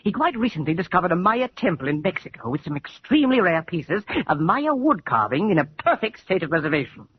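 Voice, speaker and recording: A man's voice: high voice, sing-song, fairly quick, few pauses, husky, full character voice. An actor playing a fussy, flustered, prissy museum man in a 1940s radio drama, prim and wordy. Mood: fussy, explaining. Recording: muffled, old 1940s radio broadcast.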